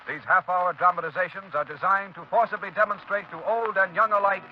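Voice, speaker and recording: A man's voice: high-pitched, fairly even intonation, a little fast, faint music behind. A man working as a radio announcer, reading a script in a formal, emphatic, polished 1930s style. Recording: noisy, dull 1930s radio broadcast.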